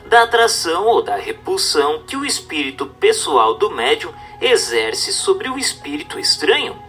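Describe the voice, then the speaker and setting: A man's voice: fairly high pitch, a bit bright. A man with a Brazilian accent reading a book aloud as scripted narration, in a formal, didactic manner. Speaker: audiobook narrator.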